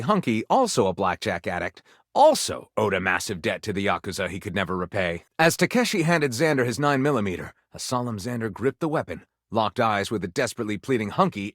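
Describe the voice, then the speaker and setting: A man's voice: fairly low pitch, fairly bright tone, no room sound, clean studio sound. An actor voicing a flamboyant male storyteller of lurid romance in a video game, with theatrical, breathless, overwrought dramatic narration.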